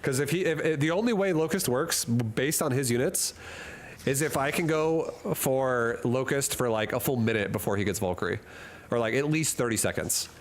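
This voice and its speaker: A man's voice: mid-range voice, moderate pace. Male game streamer, mid voice, rambling casual banter with chat, desk mic with slight background noise. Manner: rambling and jokey, reads chat aloud and laughs often. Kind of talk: casual live-stream chat while gaming. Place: hot room at home.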